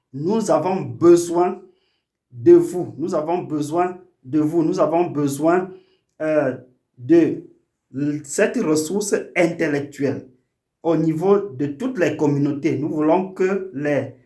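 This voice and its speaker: Man, formal oratorical delivery, slow and deliberate, slightly high voice, echoey room. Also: a little mellow. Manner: formal, oratorical, many hesitations. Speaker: language activist and YouTube channel host. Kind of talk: earnest appeal to a community.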